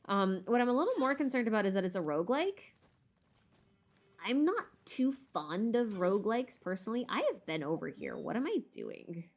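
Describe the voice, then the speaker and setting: A woman's voice: medium pitch, big pitch swings, moderate pace, faint music behind. A woman hosting a casual live Q&A stream while gaming, chatting in a playful, self-deprecating, rambling way with muttered asides.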